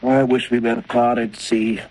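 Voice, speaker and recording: A man's voice: mid-range voice, flat delivery, unhurried, darkish tone, husky, rough recording. An actor playing a nervous young man aboard a ship who speaks uneasily in the style of 1930s radio drama. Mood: uneasy.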